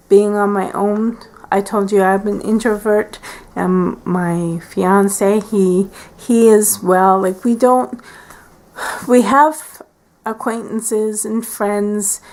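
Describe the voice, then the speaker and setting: A woman's voice: mid-range voice, gravelly. A woman in her forties chatting casually at home for a vlog, hesitant, with many fillers and restarts. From Canada. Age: 40s. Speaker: beauty vlogger. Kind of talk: casual tag video chat. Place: at home by a window.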